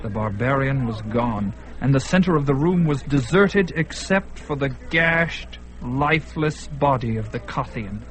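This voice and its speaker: A man's voice: mid-range voice, animated, measured pace, hardly any pauses, a faint crowd, low-quality recording. Male radio drama narrator. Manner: vivid, building intensity.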